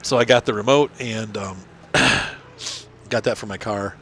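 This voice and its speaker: A man's voice: on the low side, takes it slowly, creaky, pushed and bright. Middle-aged male host, nasal with a cold, rambling casual game commentary, faint noise behind. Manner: rambling, chatty, many fillers and restarts. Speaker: gaming channel host. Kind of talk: casual commentary while playing.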